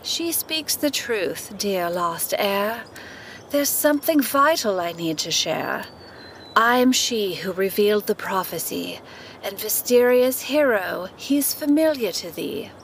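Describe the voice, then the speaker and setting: An actress playing a theatrical fortune teller who speaks in lofty, sweet, singsong rhyme in a fantasy audio drama. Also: female voice, medium pitch, animated, takes it slowly, slight background noise. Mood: mystical.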